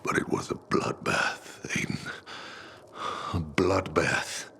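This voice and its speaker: An actor playing a broken, bitter older former squad leader in a video game, with a gravelly, breathy rasp and a slow, halting way of talking. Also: male voice, deep voice, steady tone, sharp tone, slight background noise, exaggerated voice. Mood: bitter regret.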